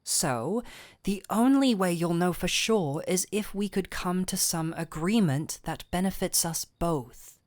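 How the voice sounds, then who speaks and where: A woman playing a gentle but firm fantasy physician, calm and wry, in an intimate audio roleplay with measured, coaxing delivery. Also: on the low side, no echo, studio quality. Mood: coolly challenging. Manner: measured, coaxing, wryly stern. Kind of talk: intimate scripted audio roleplay addressed to the listener.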